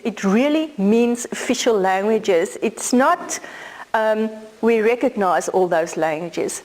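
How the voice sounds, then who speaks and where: A woman's voice: fairly high pitch, a little mellow, poor audio. A middle-aged South African woman whose first language is Afrikaans, giving a law lecture in a conversational, explaining way with some fillers. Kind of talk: academic lecture.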